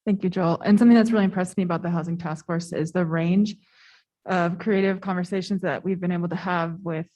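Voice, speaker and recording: Lower-voiced woman at a council meeting. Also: darkish tone. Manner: appreciative, introduces speakers.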